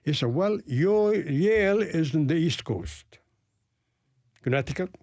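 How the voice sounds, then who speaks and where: A man in his eighties with an Arabic accent, from Palestine and raised in Lebanon, recounting his life in an interview in a deliberate storytelling manner. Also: fairly high pitch, lively intonation, a little mellow, no echo, clean studio sound. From Palestine, grew up in Lebanon. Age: 80s.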